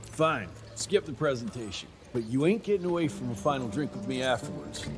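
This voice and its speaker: An actor playing a cocky, teasing younger vampire hunter, the boss's son, with wry informal delivery in a video game cutscene. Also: male voice, medium pitch, sing-song, fairly quick, music in the background, lightly acted. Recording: clear noise, outdoor ambience, hooves.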